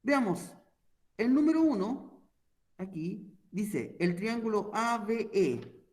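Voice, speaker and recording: A man's voice: high voice, sing-song, slow pace, a little mellow. A man explaining an online math lesson, patiently walking through each step and checking in often with his listeners. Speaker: math teacher.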